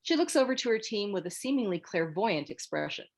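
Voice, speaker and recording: A woman's voice: mid-range voice, big pitch swings, a little fast. A woman reading scripted screenplay narration, stage directions and scene headings as a narrator.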